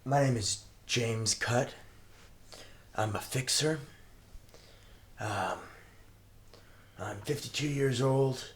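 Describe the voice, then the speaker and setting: Slow, measured man with a low voice. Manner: slow, measured, dramatic delivery. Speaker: player. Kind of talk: in-character introduction at a tabletop game.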